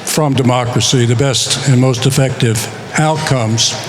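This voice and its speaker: An author from the United States giving a measured conference talk, pausing often, while he is losing his voice. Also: medium pitch, fairly even intonation, background noise. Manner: measured, with many pauses and filler words. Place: conference session.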